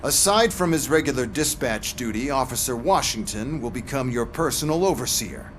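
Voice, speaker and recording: Male actor as a scheming official for a game: medium pitch, patronizing, sardonic, slight ambient noise. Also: expressive, moderate pace, close to an everyday voice. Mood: commanding. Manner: condescending, sardonic. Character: smug, manipulative male police boss. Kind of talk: scripted video game acting.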